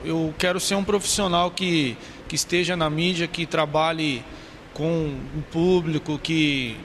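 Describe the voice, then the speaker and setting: Twenties man, earnest and halting, gravelly edge, handheld mic in an empty classroom, faint noise behind. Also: fairly high pitch, steady tone, a bit bright. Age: 20s. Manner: earnest, halting with repeated words. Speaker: interviewee, advertising student. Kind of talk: documentary interview.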